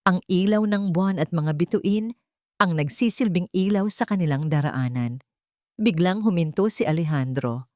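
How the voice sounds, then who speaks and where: A woman's voice: fairly low pitch, normal speed, warm tone, dry recording, studio quality. A woman reading a scripted dramatic love story as an audiobook narrator.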